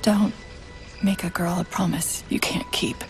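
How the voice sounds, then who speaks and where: An actress playing a quick-witted, anxious female military AI companion whose mind is failing, in cinematic game style, wry, urgent and emotive. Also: on the low side, fairly even intonation, music in the background. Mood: resigned, tender. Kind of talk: cinematic video game voice acting.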